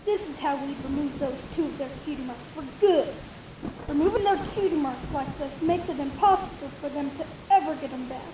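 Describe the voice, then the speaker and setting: A woman's voice: high voice, brisk, echoey room, noisy background, lightly acted. A woman playing a young filly pony, a schemer with a tormented streak, in a fan fiction audio drama. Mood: cold, plotting.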